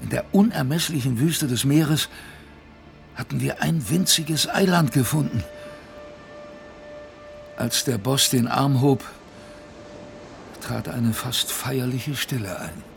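Young sailor narrator, gravelly, mid-pitched, weary, reflective, grave, radio play storytelling. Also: male voice, slow, long pauses, soft background music. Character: young male expedition sailor recalling a polar ordeal, weary, reflective.

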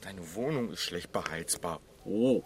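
A stressed, well-to-do brother in his forties in a radio play. The voice is low, with noise behind it. He sounds accusing and self-righteous, with a sarcastic edge. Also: expressive, unhurried. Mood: reproachful. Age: 40s. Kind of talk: radio drama acting.

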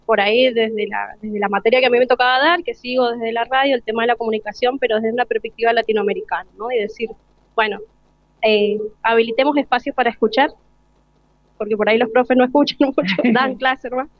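An Argentine woman talks informally as a teacher, thinking aloud in a reflective, halting way. Her voice sits fairly high, in a dry, slightly dull recording. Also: steady tone, no echo. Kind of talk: informal interview talk.

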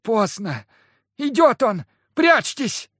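Мужчина озвучивает невидимую испуганную крестьянку-духа в аудиокниге, которая причитает, умоляет и визгливо бранится. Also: male voice, very animated, slow pace, a bit bright, no echo, studio quality, heavily acted. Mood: fearful pleading. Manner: wailing, pleading, then shrill scolding. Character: frightened invisible peasant woman spirit.

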